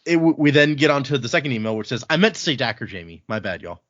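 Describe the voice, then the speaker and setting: A man's voice: mid-range voice, big pitch swings, fast, a bit bright, highs cut off. A man from Texas hosting a podcast, chatting casually and reading out listener mail. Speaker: podcast host.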